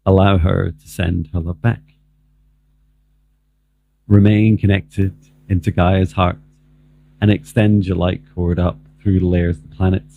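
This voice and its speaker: A man leading a guided meditation as a spiritual mentor, speaking in a slow, calm, measured way with long pauses. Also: low voice, vocal fry, husky, dry recording. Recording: muffled, phone-like narrow band, dry.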